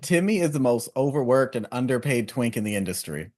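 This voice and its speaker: A man's voice: medium pitch, big pitch swings, fairly quick, darkish tone. A young man co-hosting a podcast, casual and sassy with campy slang. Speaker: podcast co-host. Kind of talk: casual chat recapping a reality show.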